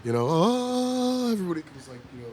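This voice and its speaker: A man in his fifties from California, a guest comedian chatting in a bar at a comedy club, telling animated stories and acting out quoted voices. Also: high voice, a little fast. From United States (California). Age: 50s. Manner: animated storyteller who acts out quoted voices. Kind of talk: casual interview chat.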